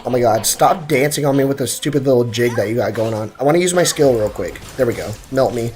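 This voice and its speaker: A young man streaming a video game, giving excitable, joking commentary and answering his chat. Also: mid-range voice, fast. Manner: excitable, joking, trash-talking. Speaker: gaming streamer. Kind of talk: live game commentary, chatting with viewers.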